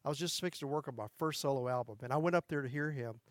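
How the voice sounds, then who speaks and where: A man's voice: rapid-fire, dry recording. A man, a country singer chatting in an interview and telling folksy stories with frequent chuckles. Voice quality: high tenor voice. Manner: folksy storyteller, chuckles often. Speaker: country music singer and guest. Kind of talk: casual interview chat.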